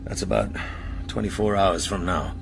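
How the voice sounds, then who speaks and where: A cool British secret agent, low-pitched, precise and authoritative. He is decisive and composed, in a radio thriller with faint music underneath. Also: steady tone, normal speed, vocal fry. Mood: urgent, controlled. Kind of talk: radio drama acting.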